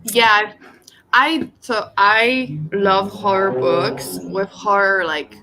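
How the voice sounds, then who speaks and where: A woman's voice: medium pitch, fairly even intonation, drawn-out words, noisy background. A woman co-hosting a livestream in casual chat, speaking informally with lots of "like". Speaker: co-host of reading livestream.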